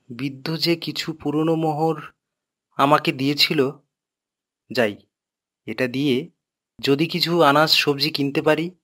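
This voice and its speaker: Man playing an earnest, slow-spoken young farmer. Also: medium pitch, sharp tone. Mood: thoughtful. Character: dutiful, humble young village farmer son. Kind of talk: cartoon moral tale acting.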